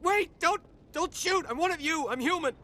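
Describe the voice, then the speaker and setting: A man's voice: a little high, expressive, normal speed, a bit bright, breathy edge. A man playing a cowardly, frightened dockworker who has survived an attack in a video game, stammering, nervous and pleading. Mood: panicked pleading. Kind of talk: cinematic sci-fi game dialogue. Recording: music under the voice.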